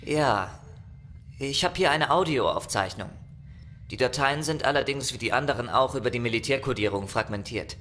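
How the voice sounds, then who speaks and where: A man's voice: medium pitch, lively intonation, normal speed, a bit bright, a little hiss or hum, natural voice. A man playing a level-headed, practical starship technician in a sci-fi audio drama. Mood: focused.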